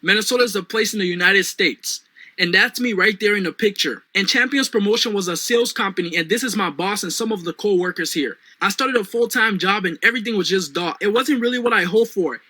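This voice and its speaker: A young man with a Liberian accent giving an energetic motivational sales talk as a business coach, often calling out to "guys". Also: a little fast, no room sound. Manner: pitchy, repeats "guys" and "right now".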